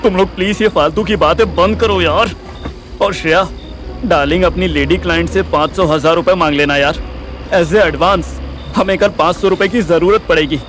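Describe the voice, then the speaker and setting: A man's voice: sits high, normal speed, a bit bright, faint music behind, poor audio. A man playing a hot-headed, easily affronted young sidekick in an audio drama, slangy and complaining, with an Indian accent. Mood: impatient. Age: young adult.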